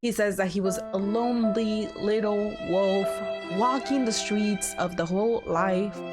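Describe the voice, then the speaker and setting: A woman's voice: mid-range voice, unhurried, over music. A woman hosting a reaction channel, recapping a reality show in a sassy, chatty way. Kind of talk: casual recap commentary.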